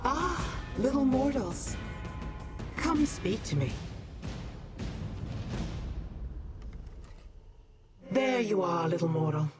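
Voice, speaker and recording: High-pitched, condescending sly Daedra. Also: male voice, very animated, measured pace. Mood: beckoning, amused. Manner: condescending, theatrical, formal. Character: sly, courteous Daedra addressing little mortals. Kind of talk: scripted video game dialogue. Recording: music behind the voice.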